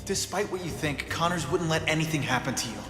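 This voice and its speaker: An actor playing an earnest, protective young superhero in a video game cutscene. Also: male voice, on the high side, fast, long reverb tail, over loud music, close to an everyday voice. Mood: reassuring.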